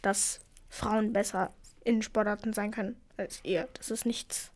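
A woman's voice: medium pitch, steady tone, unhurried, darkish tone. A teenage girl from Afghanistan, co-hosting a casual chat and telling stories in an earnest, indignant way. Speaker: co-host.

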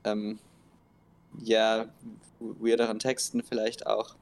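A young man who is a guest band member, talking calmly with many fillers in a casual interview. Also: lowish voice, slow pace, creaky. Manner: calm, even, with many fillers.

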